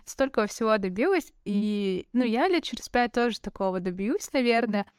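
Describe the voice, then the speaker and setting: A woman in her twenties hosting an interview, chatty and excitable, rambling as she talks. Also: fairly high pitch, normal speed, clear, resonant tone, no echo, clean studio sound. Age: 20s. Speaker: host. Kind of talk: casual interview talk.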